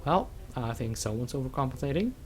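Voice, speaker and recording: A woman's voice: a bass voice, big pitch swings, a little fast, slight background noise. A woman giving casual, wry, hesitant commentary over video game cutscenes. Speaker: Let's Play commentator.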